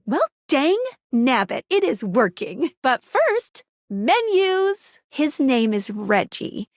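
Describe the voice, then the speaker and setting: High, perky, geeky game girl. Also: no echo, studio quality, heavily acted. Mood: playful, chatty. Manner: peppy, quirky, slangy, stammers when flustered. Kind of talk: animated video game character dialogue.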